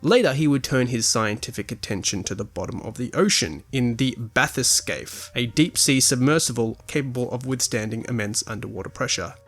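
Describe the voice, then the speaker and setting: Male podcast narrator, mid-pitched and expressive, articulate scripted essay delivery with dry asides. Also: brisk, hardly any pauses, soft background music. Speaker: podcast host.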